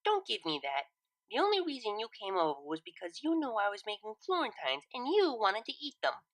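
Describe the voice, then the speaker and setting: A woman's voice: mid-range voice, wildly swooping pitch, brisk, fairly bright tone, no echo, pitched above the performer's own voice. A woman voicing a practical, exasperated female pony with a dry, blunt manner in a story reading. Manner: dry, blunt, matter-of-fact.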